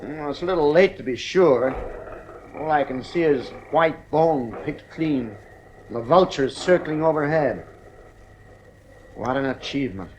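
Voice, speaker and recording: A man's voice: a little high, measured pace, pauses often, lightly acted, rough recording. An actor playing a rational, dismissive husband and father in a 1950s radio drama. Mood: intrigued. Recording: vintage radio recording, faint hiss.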